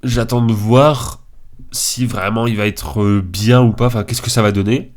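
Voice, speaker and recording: A man's voice: lowish voice, steady tone, moderate pace. A young man with a head cold giving casual, slangy tier list commentary in a hesitant, informal way. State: congested from a cold. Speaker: video creator.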